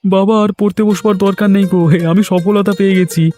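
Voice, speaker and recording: A man playing an earnest, modest young adult son in a village moral-story cartoon, speaking in a measured way. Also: high-pitched, steady tone, warm tone, faint music behind, natural voice. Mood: happy, proud.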